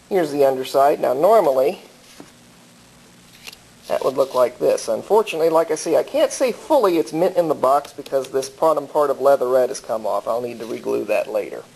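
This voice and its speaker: Clear noise runs through this homemade recording of a man with a medium-pitched voice. He talks like a hobbyist collector showing off an item by hand. His delivery is casual and deliberate, explaining as he goes. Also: a little mellow. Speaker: collector showing a vintage radio. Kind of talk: casual hands-on show-and-tell narration. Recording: clearly noisy amateur recording.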